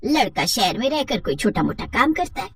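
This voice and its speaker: A woman's voice: a little high, sing-song, fairly quick, breathy edge, dry recording, full character voice. A woman voicing a mean, scheming middle-aged stepmother in a cartoon moral story, bossy and contemptuous. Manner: scornful, bossy.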